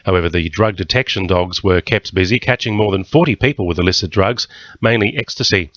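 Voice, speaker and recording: A man with an Australian accent reads a scripted news bulletin in a fairly low voice. The sound is dry and slightly dull, as on a modest radio recording. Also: a little fast, a bit bright, no echo.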